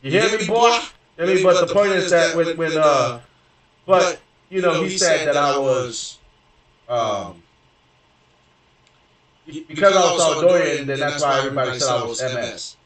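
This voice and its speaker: A man's voice: a little high, a little slow, pauses often, a bit bright. A young man from Houston, Texas, telling a long story in rambling, profane casual talk full of fillers and restarts. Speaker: gaming streamer. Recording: very echoey room, distant from mic.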